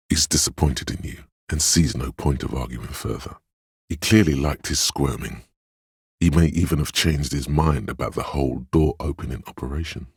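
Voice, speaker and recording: A man voicing a gentle, perceptive inner voice in a video game, narrating others' feelings in a measured, hushed, solemn and compassionate way. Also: very deep voice, vocal fry, husky, dry recording, studio quality, heavily acted. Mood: warm, understanding. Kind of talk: scripted game narration, intimate inner monologue.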